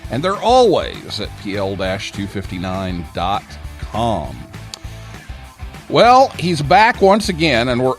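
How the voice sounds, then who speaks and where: A man hosting a live ham radio call-in talk show, speaking as the presenter. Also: medium pitch.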